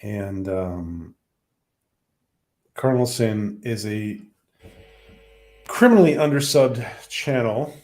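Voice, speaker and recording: A man hosting a casual livestream who is losing his voice. He talks haltingly, with many ums and restarts. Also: lowish voice, expressive, darkish tone. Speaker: livestream host.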